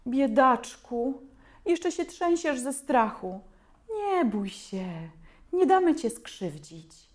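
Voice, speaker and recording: A woman's voice: sits high, lively intonation, takes it slowly, voice pushed up. Woman doing a fierce scolding mother. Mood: tender soothing. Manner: shouting loudly, scolding. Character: fierce protective mother, middle-aged woman.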